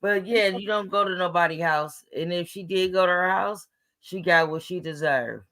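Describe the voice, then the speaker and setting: Raspy female caller, blunt and opinionated, casual slang. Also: on the low side, moderate pace, a bit bright. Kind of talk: casual phone-in chat.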